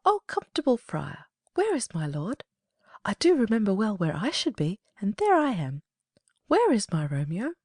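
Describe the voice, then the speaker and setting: Woman playing passionate young noblewoman, lively swooping pitch, dry close recording, emotional Shakespearean drama. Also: normal speed, breathy edge, no echo, full character voice. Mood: searching, tender. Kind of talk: theatrical Shakespearean audiobook acting.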